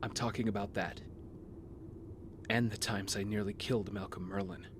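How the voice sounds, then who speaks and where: A man acting a brooding, guilt-ridden young vigilante hero in an audio drama, speaking in an earnest, measured, confessional way. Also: lowish voice, fairly even intonation, a little hiss or hum, natural voice. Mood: troubled, confessional. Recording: music bed under the voice.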